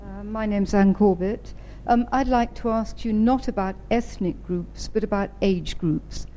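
Woman asking a question at a talk, mellow warm tone, a bit hesitant, faint noise. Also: mid-range voice, a little slow. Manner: hesitant, careful. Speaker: audience member asking a question. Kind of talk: question from the floor.

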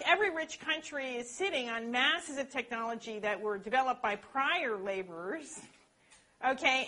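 A woman lecturer with a bright, forceful tone and a voice pitched a touch high. She talks in an animated way and keeps checking in with her listeners as she explains. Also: poor audio. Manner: animated, peppers points with "okay?" tags. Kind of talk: lecture and Q&A answers.

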